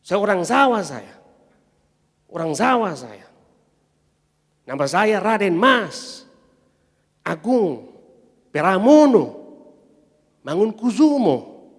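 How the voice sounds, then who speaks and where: A man's voice: high-pitched, sing-song, voice pushed up, exaggerated voice. A man voicing a Batak man boastfully insisting he is a Javanese nobleman, drawing out his grand name. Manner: insistent, proud, drawn-out self-introduction. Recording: long church-hall reverb.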